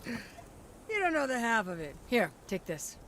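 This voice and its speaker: An actress playing a sly, warm woman arms trader in a video game, with playful, theatrical phrasing. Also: a little high, sing-song, a little slow, a little hiss or hum. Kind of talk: video game cutscene acting.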